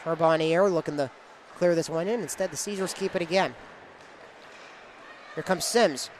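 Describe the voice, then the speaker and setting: A high-pitched male sportscaster delivers live play-by-play in short, clipped phrases. He follows the action moment by moment, with some venue noise behind his voice. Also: normal speed.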